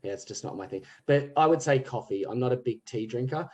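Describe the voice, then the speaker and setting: A man's voice: mid-range voice, expressive, a little fast, darkish tone. A man from Australia with an Australian accent, a podcast guest telling rambling stories with plenty of fillers. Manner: rambling anecdotes, many fillers. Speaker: guest, theatre group member. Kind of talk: casual podcast chat.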